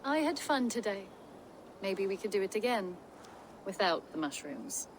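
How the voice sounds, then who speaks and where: A woman's voice: mid-range voice, big pitch swings, moderate pace, slight background noise, natural voice. A woman playing a plain-spoken young huntress in a video game, earnest and direct. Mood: soft. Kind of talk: dramatic video game acting.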